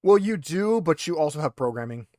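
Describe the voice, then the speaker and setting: A man's voice: on the high side, lively intonation, brisk, a little mellow, dry recording, studio quality. A man acting as game master, narrating a tabletop roleplaying game in a conversational, hesitant way.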